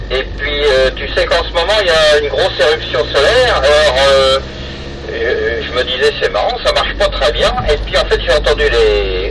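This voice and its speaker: A middle-aged man with an accent from France, chatting casually and haltingly over a two-way radio. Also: mid-range voice. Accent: French, from France. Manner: hesitant, halting. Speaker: amateur radio hobbyist. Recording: distant, very noisy FM radio contact.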